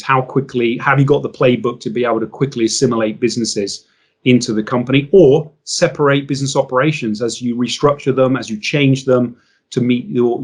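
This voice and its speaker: A man speaking as an interview guest, explaining business matters in a measured, structured way. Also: mid-range voice.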